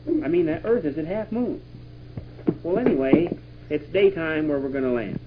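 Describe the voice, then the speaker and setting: A man playing an excitable astronaut commander in an improvised pretend radio play, exclaiming and giving urgent orders. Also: on the high side, moderate pace, close to an everyday voice. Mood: relieved, instructive. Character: excitable male astronaut commander leading a moon trip. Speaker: father playing with his children. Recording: muffled, very noisy 1960s home tape.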